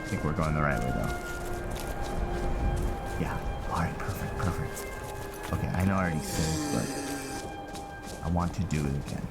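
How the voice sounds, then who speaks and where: A man giving casual video game commentary, thinking aloud in short, halting phrases over game music. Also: very deep voice, sing-song, creaky. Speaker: gamer, video creator. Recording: loud game music behind the voice. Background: loud game music.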